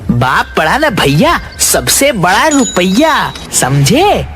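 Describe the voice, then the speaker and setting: A man's voice: high voice, huge pitch swings, slow, a bit bright, faint music behind, full character voice. A man voicing a greedy, scornful father who sells sweets in a village, in a Hindi cartoon. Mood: angry, scornful. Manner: grumbling, scornful. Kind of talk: Hindi moral-story cartoon acting.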